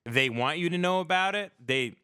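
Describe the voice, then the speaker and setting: A man's voice: a little high, lively intonation, normal speed, pushed and bright, clean tone, no room sound, clean studio sound. An American man on a casual livestream, talking in a rambling, opinionated and profane way with plenty of emphasis. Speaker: podcast host.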